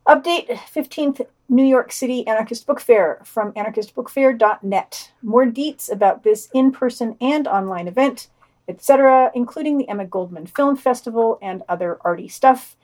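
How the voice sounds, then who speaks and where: A woman's voice: fairly high pitch, normal speed, a little mellow, poor audio. A woman co-hosting a podcast, reading a scripted news digest and quoted passages aloud. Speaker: podcast co-host.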